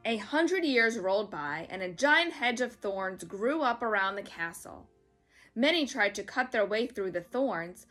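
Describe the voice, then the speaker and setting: High, bright woman reading a children's story. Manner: dramatic, expressive.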